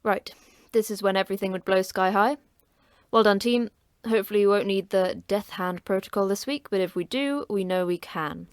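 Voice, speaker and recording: An actress playing a terse, blunt station manager at a secret facility in an audio drama. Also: female voice, mid-range voice, moderate pace, clear, resonant tone, no echo, close to an everyday voice. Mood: curt. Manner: blunt, dry, clipped.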